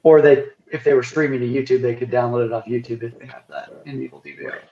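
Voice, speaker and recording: A man speaking as an engineer in a casual technical work call, thinking aloud in halting phrases as he explains details. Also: medium pitch, steady tone, dark tone. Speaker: software engineer on a team call. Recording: roomy, dull lo-fi call audio.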